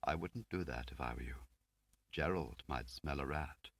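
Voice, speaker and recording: A man reading the part of an ageing spymaster, quiet, courteous, precise and probing, in a spy audiobook. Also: extremely low pitch, normal speed, no room sound. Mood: dry, courteous. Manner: understated, precise, questioning.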